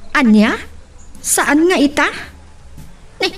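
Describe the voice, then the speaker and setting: Teasing ilocano boutique lady, super high pitched and swoopy, radio drama style. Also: slow pace, soft background music. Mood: scolding, exasperated. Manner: animated, theatrical. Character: married woman boutique owner, teasing and sharp, theatrical.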